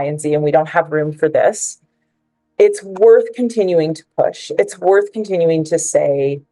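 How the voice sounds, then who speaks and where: A woman's voice: low voice, mellow, no room sound, low-quality recording. A woman giving prepared, persuasive remarks as a panelist at a book presentation, joining remotely.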